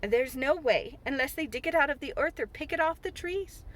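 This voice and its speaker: A woman's voice: high-pitched, lively intonation, fairly quick, pitched above the performer's own voice. A woman reading a storybook aloud, voicing a blunt, chatty older housekeeper. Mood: gossipy.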